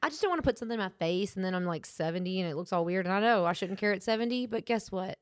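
Woman, 30s, casual chat, animated storyteller. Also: mid-range voice, racing. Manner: animated storytelling, frequent laughter. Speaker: co-host. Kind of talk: casual chat between friends.

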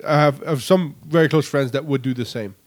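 A man's voice: medium pitch, brisk. A man co-hosting a podcast, speaking bluntly and emphatically in casual chat. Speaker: podcast co-host.

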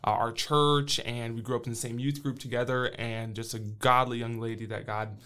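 A man's voice: mid-range voice, level tone, normal speed, sharp tone, clear, resonant tone. A man in his thirties who grew up in Brazil, a missionary answering interview questions in an earnest, plain-spoken way with frequent hesitant fillers.

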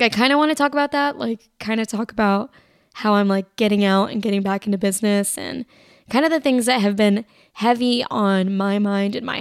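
A young woman with a somewhat high, raspy voice talks on her own podcast, wandering from thought to thought with constant "like"s. She sounds tired, recovering from being sick. The sound is dry and close. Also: no room sound. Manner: rambling, filler-heavy, lots of "like". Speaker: podcast host. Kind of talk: casual solo podcast chat.

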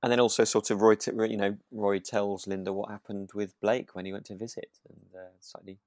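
A man's voice: lowish voice, level tone, a little fast, darkish tone, no echo, clean studio sound. A British man co-hosting a podcast in casual chat, agreeable and often breaking off to rephrase himself. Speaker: podcast co-host. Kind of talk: casual chat discussing a radio drama.

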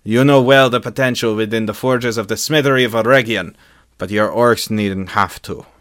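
A man's voice: on the low side, normal speed, no room sound, studio quality, voice pushed up, natural voice. Man voicing a defiant noble elf lord, raised voice. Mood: solemn defiance. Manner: solemn, forceful, archaic declamation. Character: defiant, noble male elf smith commanding his people.

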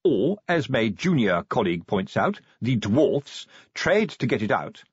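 A man voicing a cheeky, dim low-ranking policeman in an audiobook story. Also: big pitch swings, a little slow, husky, dry recording, lo-fi sound, heavily acted.

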